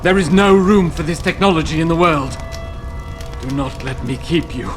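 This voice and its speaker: An actor playing an aging male tech visionary in a video game, grave and measured, breaking into anguished shouts. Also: on the high side, lightly acted. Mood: earnest. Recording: roomy game audio with music.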